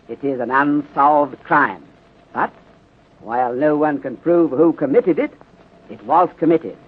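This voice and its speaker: A man playing a courteous, methodical Chinese detective inspector in 1930s radio drama style, speaking formally in clipped phrasing with deliberate pauses and dropped articles. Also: a little high, husky. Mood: insistent, deliberate. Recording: muffled, narrow-band 1930s radio recording.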